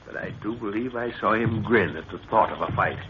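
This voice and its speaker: A man acts a brisk, fatherly professor in charge of a jungle expedition. His voice is low, in vintage radio serial style, on a muffled recording with light noise. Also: close to an everyday voice. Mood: firm, wary. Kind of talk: 1930s radio drama acting.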